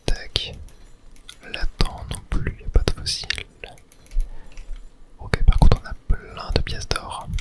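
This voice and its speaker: A man whispers soothing ASMR gameplay commentary in a breathy, unvoiced murmur, close to the mic. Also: extremely high pitch. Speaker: gaming video host.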